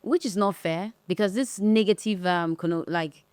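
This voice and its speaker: A young woman from northern Nigeria hosts a casual chat and thinks aloud, often pausing to find the right word. Her voice is on the low side, smooth and clear. The recording is close and dry. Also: darkish tone, dry recording. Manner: thoughtful, searching for words. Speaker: podcast host.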